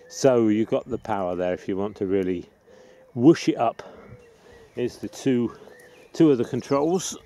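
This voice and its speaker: A middle-aged man giving a casual, unhurried, matter-of-fact gardening vlog demonstration in a rambling way. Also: medium pitch, dark tone. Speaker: allotment gardener presenting own video. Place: outdoors on an open allotment plot. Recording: outdoor background noise with birdsong.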